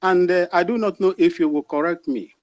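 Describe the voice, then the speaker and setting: A man with a Ghanaian accent, moderating a live discussion and putting questions to a guest in a measured, deliberate way. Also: high-pitched, dry recording, highs cut off. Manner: measured, deliberate, slows for emphasis.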